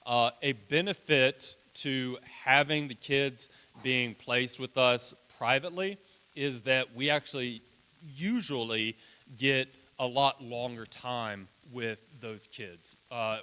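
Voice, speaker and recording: A man with a big booming voice, chatting folksily while presenting a children's ministry to a church. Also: slow, pushed and bright, clean tone. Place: church hall. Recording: long hall reverb.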